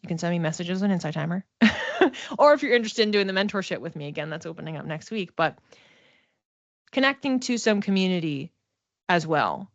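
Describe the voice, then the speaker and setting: A woman's voice: lowish voice, lively intonation, a little fast, pauses often, no echo, dull audio. A woman giving an informal spiritual card reading to one listener in a reassuring, conversational manner. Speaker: psychic oracle card reader and mentor.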